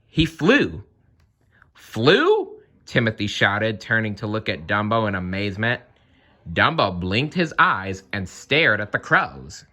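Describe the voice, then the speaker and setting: A man narrating a children's story aloud in an expressive storytelling style. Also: lowish voice, unhurried. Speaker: story reader.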